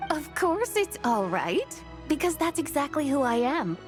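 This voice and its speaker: A woman's voice: high voice, very animated, measured pace, over music. A woman voicing a poised immortal female spirit in a video game, formal, dignified and lofty. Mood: assured.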